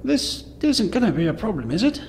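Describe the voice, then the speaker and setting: A man's voice: sits high, very animated, a little fast, a little mellow. A man playing a hesitant, anxious older father in a tabletop sci-fi game, stammering and pleading as he talks. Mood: flustered, pleading. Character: hesitant, anxious older father fond of his droid friends. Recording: reverberant hall, faint background noise.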